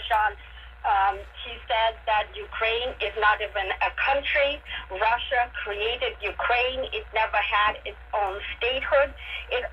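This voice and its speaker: Dull AM radio, higher-voiced woman analyst. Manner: deliberate, explanatory. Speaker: guest author and analyst. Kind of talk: radio interview commentary. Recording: dull, noisy AM radio reception.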